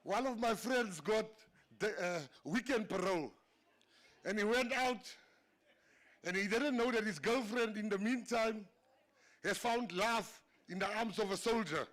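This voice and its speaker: A man's voice: sits high, measured pace, a bit bright. A South African man whose first language is Afrikaans, a government minister giving a humorous after-dinner speech, telling stories and laughing at his own jokes. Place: banquet hall.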